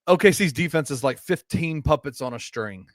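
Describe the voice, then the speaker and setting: Man, sports co-host: slightly high voice, easygoing and conversational, dry close recording. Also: expressive, normal speed, no room sound. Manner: conversational, thinks aloud with fillers. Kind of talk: casual sports chat.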